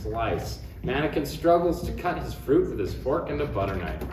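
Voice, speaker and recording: A man's voice: mid-range voice, sing-song, moderate pace. A man narrating stage directions from a script at a table read. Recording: echoey room, distant mic, audience noise.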